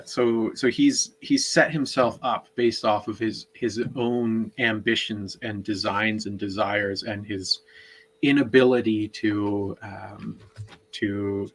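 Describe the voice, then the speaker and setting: A man's voice: on the low side, steady tone, slow pace, poor audio. A man co-hosting a book discussion, explaining things in a knowledgeable, conversational way. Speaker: co-host.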